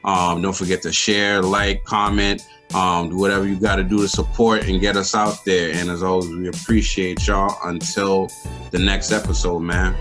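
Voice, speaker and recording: A man's voice: sits low, flat delivery, normal speed, fairly bright tone. A man co-hosting a sports podcast, talking casually in a laid-back way. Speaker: podcast co-host. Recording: faint music behind.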